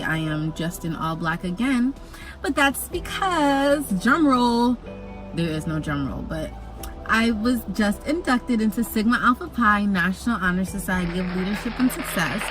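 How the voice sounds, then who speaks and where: Young woman, from jamaica, casual storytelling, animated, medium pitch. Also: rough recording. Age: young adult. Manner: chatty and animated, jokey asides. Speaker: vlogger.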